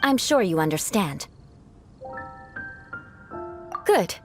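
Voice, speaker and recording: A woman acts out a proud young noblewoman from a video game, with a lively, swooping delivery. She speaks formally and haughtily, lecturing with stern composure over faint music. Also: medium pitch, normal speed, lightly acted. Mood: stern, haughty. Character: haughty young noblewoman, vengeful yet principled, teaching aristocratic etiquette.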